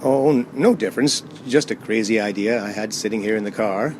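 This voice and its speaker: A man's voice: on the low side, brisk, a little mellow, a little hiss or hum, lightly acted. A man playing a frightened, haunted driver in a radio play, agitated and stammering as he pleads and narrates. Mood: uneasy, probing. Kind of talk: radio play acting, dramatic first-person narration.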